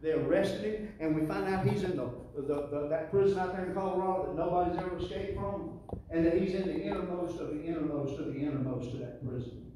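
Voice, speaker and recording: A man's voice: on the high side, fast talker. A middle-aged man with a Southern US accent preaching a sermon in a folksy, emphatic way, repeating phrases and calling on the congregation to respond. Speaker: pastor preaching to a church congregation. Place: church sanctuary. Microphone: handheld mic through PA, filmed from the pews. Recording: echoey church PA, distant, faint noise.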